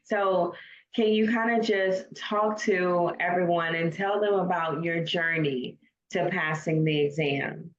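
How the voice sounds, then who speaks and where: A woman's voice: fairly low pitch, slow. Encouraging woman coach on echoey audio. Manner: encouraging, affirming. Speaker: coach and host. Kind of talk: casual interview hosting. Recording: echoey, dull lo-fi call audio.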